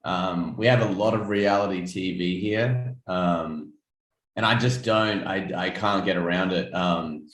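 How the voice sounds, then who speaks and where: A man's voice: sits low, unhurried, fairly bright tone, reverberant. A man in his twenties with an Australian accent, a guest artist chatting casually in an interview, laid-back with many ums and restarts. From South Australia.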